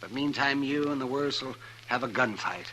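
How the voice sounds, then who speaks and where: A man's voice: mid-range voice, moderate pace, sharp tone, natural voice, rough recording. An American man playing a kindly, worried older frontier doctor in a 1950s radio western, with a folksy, thoughtful way of talking. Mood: grave, willing. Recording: old radio recording, faint noise.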